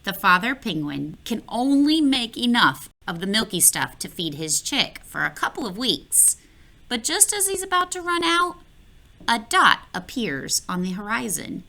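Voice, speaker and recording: Woman narrator for a kids' picture book, bright voice with some gravel, animated and playful read-aloud. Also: on the high side, measured pace. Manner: expressive, playful, addresses the listener directly. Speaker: children's book reader. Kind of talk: read-aloud storytelling for children.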